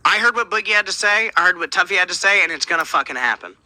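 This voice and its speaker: A man's voice: high voice, lively intonation, very fast, pushed and bright, no echo. A man hosting a livestream show, arguing heatedly and swearing a lot. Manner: heated, profane and confrontational.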